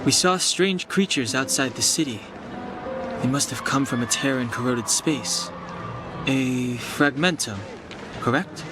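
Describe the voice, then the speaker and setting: An actor playing a calm, reserved young traveler in a video game, precise, analytical and matter-of-fact. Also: male voice, mid-range voice, unhurried, lightly acted. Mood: analytical, cool. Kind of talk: scripted video game voice acting. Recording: music under the voice.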